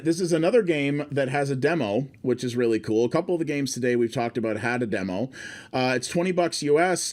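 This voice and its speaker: A man's voice: mid-range voice, darkish tone. A man casually thinking aloud as he streams his first look at a game, chatting through the menus and settings. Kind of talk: casual live game first-impressions commentary.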